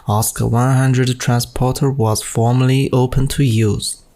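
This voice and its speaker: A man's voice: medium pitch, little pitch movement, unhurried, mellow. A man from China with a Chinese accent, a native Chinese speaker, reading out a scripted technical presentation in a stiff, careful way. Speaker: amateur radio operator giving a technical presentation.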